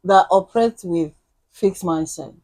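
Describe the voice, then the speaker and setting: A woman's voice: on the low side, unhurried, mellow. A woman guest in a casual talk, speaking earnestly and acting out her own thoughts as she tells stories. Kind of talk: casual chat.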